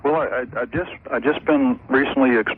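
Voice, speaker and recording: A man calling a radio advice show, speaking casually in a hesitant, halting way. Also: mid-range voice, slight background noise. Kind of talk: casual phone conversation. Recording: tinny phone line on taped radio broadcast.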